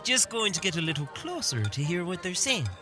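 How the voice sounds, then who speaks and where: An actress playing a determined, protective older sister, a young villager speaking earnestly in a fantasy audio drama. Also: female voice, fairly low pitch, brisk, over music. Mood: curious. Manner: earnest, expressive.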